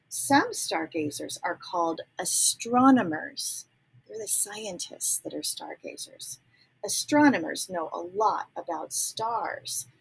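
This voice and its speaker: A woman reading a children's picture book aloud, pausing to ask young listeners gentle teacherly questions and dropping into soft whispers. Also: mid-range voice, gravelly, breathy edge, low-quality recording. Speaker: read-aloud storyteller.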